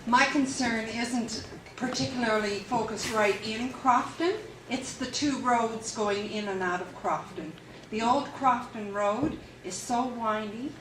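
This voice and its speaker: A woman resident speaking up at a community meeting in a loud, emphatic, complaining way. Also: on the high side, measured pace. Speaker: resident asking a question. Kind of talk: public meeting comment. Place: community hall. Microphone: distant room mic. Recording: echoey hall from far off, noisy.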